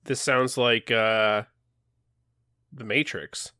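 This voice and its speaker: A man's voice: medium pitch, fairly even intonation, very slow, sharp tone, smooth, no room sound, studio quality. A man co-hosting a podcast with casual, wisecracking banter. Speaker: podcast co-host.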